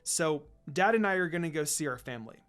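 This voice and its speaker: A man's voice: a little high, lively intonation, fairly quick, a bit bright, clean tone, soft background music. Man reading as a cheerful, affectionate teenage anime heroine. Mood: warm, earnest.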